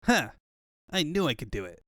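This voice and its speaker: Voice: medium pitch, sing-song, normal speed, a bit bright, dry recording, studio quality. A performer voicing a cocky, flippant young hedgehog hero in a cartoon comic dub. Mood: brash, startled. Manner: brash, flippant. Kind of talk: comic fandub acting.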